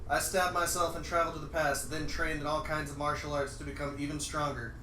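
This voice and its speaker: Man playing earnest action-movie tough guy, slightly high voice, comic script reading, distant mic. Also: level tone, fast talker, bright, forceful tone. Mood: boastful. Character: tough, earnest action-hero office man, dim and deadpan. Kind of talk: mock screenplay read-aloud. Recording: roomy, distant mic, faint noise.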